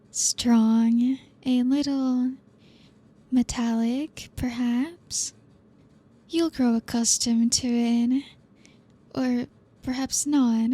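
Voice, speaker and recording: Woman playing a slow, breathy, teasing vampire. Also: on the high side. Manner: slow, hushed, seductive, drawn-out phrasing with soft whispers. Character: teasing aristocratic vampire woman, possessive, lives alone in castle. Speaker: voice actor. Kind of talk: ASMR roleplay.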